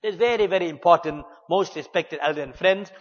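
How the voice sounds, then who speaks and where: A man delivers a measured, earnest religious talk in a high voice. His words ring out with a long hall echo. The sound is dull and muffled. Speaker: Islamic preacher.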